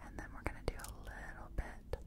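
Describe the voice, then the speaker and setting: A woman playing a nervous, gentle makeup artist in a soft-spoken ASMR roleplay, breathy and hesitant, often murmuring to herself. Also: brisk, close to an everyday voice. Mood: focused, unsure. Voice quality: breathy, whispery.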